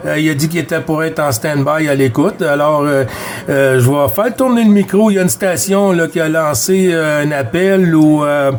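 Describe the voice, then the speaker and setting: A man's voice: fairly high pitch, normal speed. A man with a Quebec French accent, chatting casually and hesitantly as a CB radio operator at home. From Quebec, Canada. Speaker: CB radio operator and video host. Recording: clearly noisy home radio-room recording.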